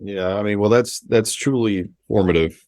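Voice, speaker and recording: Low-voiced reflective man, Spanish native. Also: normal speed, a little mellow, no echo, studio quality. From Miami, United States. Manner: reflective and earnest, with frequent self-restarts. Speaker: guest, sports executive. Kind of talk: conversational interview.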